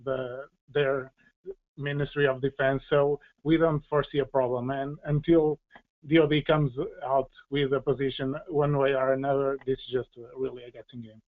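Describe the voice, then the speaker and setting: A man answering questions as a policy expert in an explanatory panel discussion, speaking haltingly as he searches for words. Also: medium pitch, little pitch movement. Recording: tinny, phone-like band-limited audio.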